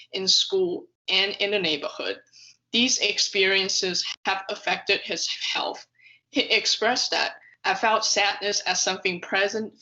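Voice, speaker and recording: A woman's voice: on the low side, pushed and bright, muffled recording. A woman narrating a video essay from a script, reading carefully with occasional stumbles over words. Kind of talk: scripted narration, read aloud.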